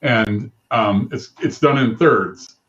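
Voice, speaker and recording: A man hosting an interview show, chatty with quick asides. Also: mid-range voice, fairly bright tone. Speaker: host. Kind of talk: casual interview chat. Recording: dull lo-fi call audio.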